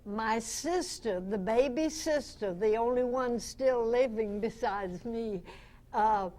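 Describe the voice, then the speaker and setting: A woman in her nineties, a veteran actress, reminiscing before a club audience in a folksy, halting, chuckling way. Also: on the high side, a bit bright. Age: 90s. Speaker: veteran radio actress, guest speaker. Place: club meeting room. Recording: noisy amateur meeting recording.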